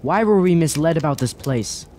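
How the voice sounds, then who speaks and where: Man as earnest, mellow, questioning lad. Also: a little high, lively intonation, normal speed, slight background noise, lightly acted. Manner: questioning, sincere, formal phrasing. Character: earnest, searching young lad seeking truth. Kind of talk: fantasy radio drama acting.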